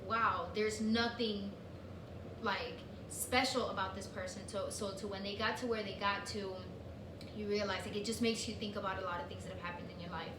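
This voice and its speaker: Woman, spanish first language, rambly chatty co-host, far from the mic, loud background noise. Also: mid-range voice, fairly even intonation, moderate pace. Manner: chatty, lots of fillers and restarts. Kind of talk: casual chat. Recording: echoey room, distant mic, noisy.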